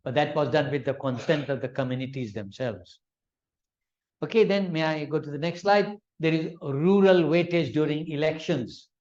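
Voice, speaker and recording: A man's voice: fairly high pitch, moderate pace, a little mellow, highs cut off. A man whose first language is Urdu, lecturing on law in an explanatory way with examples and frequent fillers. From Malaysia. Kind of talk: academic lecture.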